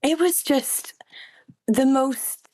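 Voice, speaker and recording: A woman's voice: high-pitched, very slow, mellow. A woman, a singer-songwriter and guest, speaking earnestly and reflectively in a casual interview. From Wales.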